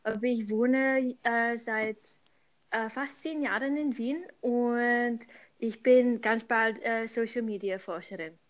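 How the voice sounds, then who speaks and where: A woman from London co-hosts a casual podcast over a remote call. Her slightly high voice comes out hesitantly, with restarts and fillers. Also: steady tone, slow pace, poor audio. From London, England. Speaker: podcast co-host.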